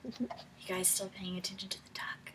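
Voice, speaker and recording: Bright-voiced woman reading aloud to children. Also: medium pitch, fairly even intonation, normal speed, in a whisper. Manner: encouraging, prompting listeners with questions. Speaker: teacher reading a picture book aloud to children. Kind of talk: read-aloud storytelling with questions to young listeners.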